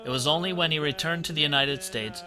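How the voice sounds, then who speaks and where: A man reading scripted documentary narration in a measured, even way. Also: on the high side. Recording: music bed under the voice.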